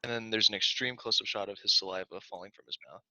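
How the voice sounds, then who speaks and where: A man's voice: lowish voice, fairly even intonation, fast, pushed and bright, no echo. A man reading screenplay shot directions aloud at a table read, over muffled video-call audio.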